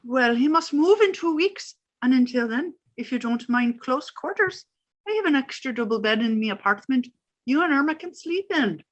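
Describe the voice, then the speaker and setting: A woman voicing a calm, motherly older landlady in a radio play. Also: high-pitched, normal speed. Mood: soothing. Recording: dull lo-fi audio.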